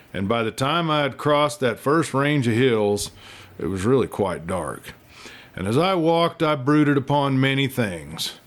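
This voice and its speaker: A male narrator plays a young scholar in a storybook, pompous and self-mocking. His medium-pitched voice is theatrical, with bookish phrasing that slips into folksy turns. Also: lightly acted. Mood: brooding. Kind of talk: dramatic first-person storybook reading.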